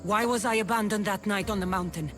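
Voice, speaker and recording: A woman's voice: medium pitch, brisk, lightly acted. A woman playing a fierce, bitter young warrior in a video game, with a taunting, cutting delivery. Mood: cold, challenging. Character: fierce young female cult-raised warrior, bitter, menacing, contemptuous. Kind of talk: cinematic video game acting. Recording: music under the voice.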